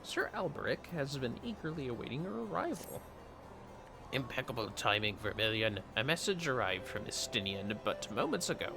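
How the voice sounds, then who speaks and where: A man voicing a formal, earnest knight from a fantasy game, with courtly, measured, old-fashioned phrasing. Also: mid-range voice, creaky, background noise. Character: formal, earnest knight delivering wary counsel. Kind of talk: reading of game dialogue.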